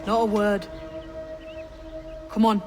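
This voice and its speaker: An actress voicing a young, proud assassin guide in a video game, earnest and sharp-tongued, with a terse, assured way of giving instructions. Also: female voice, medium pitch, level tone, slow pace, close to an everyday voice. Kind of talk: video game cinematic acting. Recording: loud music behind.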